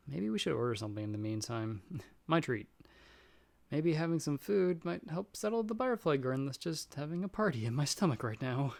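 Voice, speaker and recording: A man's voice: mid-range voice, lightly acted. A man playing a nervous, self-doubting young friend in an audio roleplay, chatting casually and rambling with self-deprecating humor. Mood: casual, friendly.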